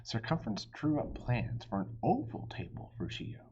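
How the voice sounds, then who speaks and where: A man reading a children's story aloud expressively, with animated delivery and chatty asides to his listeners. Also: medium pitch, husky, lo-fi sound. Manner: animated, pausing to chat to listeners. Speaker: storybook reader.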